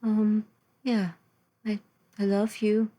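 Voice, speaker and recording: A man voicing a small, gentle female companion with a soft, breathy, tender and hesitant voice. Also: high-pitched, monotone, slow, voice pushed up. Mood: affectionate.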